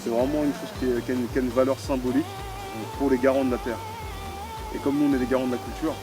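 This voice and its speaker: A man talks at a very quick clip in a medium-pitched voice. He is hosting a casual interview, making his points emphatically and circling back to restate them. Music plays clearly behind him. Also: flat delivery. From Congo. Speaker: interviewer and host. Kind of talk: casual interview chat with long explanations.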